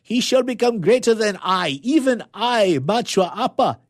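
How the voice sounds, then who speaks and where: A man's voice: high-pitched, animated, unhurried, dry recording, studio quality, voice pushed up. A man voicing a proud old elephant driver chief, making a grand declamatory speech in a storybook reading. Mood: proud proclaiming.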